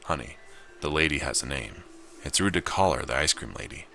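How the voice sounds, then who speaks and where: Visual novel mother: polite, indulgent, mildly chiding, voiced by a man with a very low voice. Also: lively intonation, brisk, creaky, bright, forceful tone, faint music behind, heavily acted. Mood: gently chiding. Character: polite, gently correcting mother of small child.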